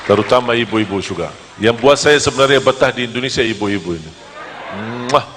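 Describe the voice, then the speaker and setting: Joking preacher from Medina. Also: male voice, on the low side, brisk, long reverb tail, crowd noise, noisy background. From Medina, Saudi Arabia. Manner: joking, lively storytelling. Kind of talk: humorous sermon to a live audience.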